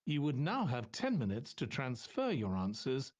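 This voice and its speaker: A man's voice: mid-range voice, wildly swooping pitch, moderate pace, a little mellow. A man reading formal, scripted test instructions as an exam announcer.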